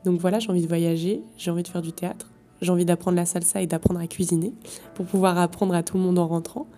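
A woman's voice: lowish voice, flat delivery, fast talker, warm tone, soft background music. A young French woman studying abroad, talking casually and enthusiastically about her experiences, with hesitations and fillers. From France. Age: young adult. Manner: enthusiastic, effusive, with many fillers. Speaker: exchange student. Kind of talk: casual testimonial.

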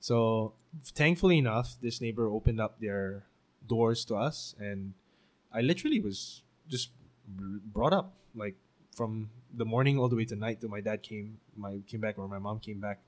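A man's voice: fairly low pitch, normal speed. Laid-back young Singaporean man. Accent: Singaporean English. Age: 20s. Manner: relaxed, laughs often, many fillers. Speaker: interviewee. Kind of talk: casual conversational storytelling.

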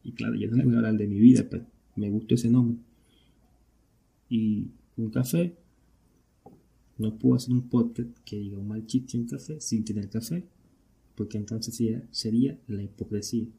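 Man, Venezuelan accent, lowish pitch, raspy husky voice, casual podcast monologue, question-and-answer phrasing. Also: fairly even intonation, normal speed, long pauses, warm tone. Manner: conversational, asks and answers own questions. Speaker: podcast host.

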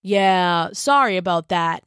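A friendly male hermit crab from a children's story, voiced by a woman. The talk is casual and easygoing, like a relaxed dude. The recording is dry. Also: lowish voice, slow, smooth, no room sound. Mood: apologetic.

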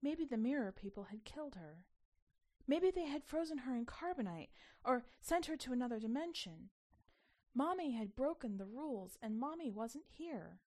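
Expressive woman reading a story. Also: high voice, moderate pace. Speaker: podcast host reading a short story. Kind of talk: expressive scripted storytelling narration.

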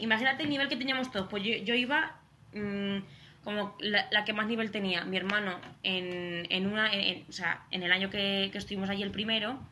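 A woman's voice: mid-range voice, sharp tone, slight background noise. A young woman from Spain with a Spanish accent, chatting as a podcast guest, full of fillers and restarts. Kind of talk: casual chat.

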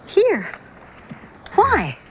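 An actress playing a skeptical, plain-spoken frontier wife who questions everything in a Western radio drama. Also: female voice, very high-pitched, wildly swooping pitch, very slow, highs cut off, full character voice. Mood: bewildered. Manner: incredulous, questioning. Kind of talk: old-fashioned Western radio drama acting. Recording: noisy 1970s radio drama broadcast.

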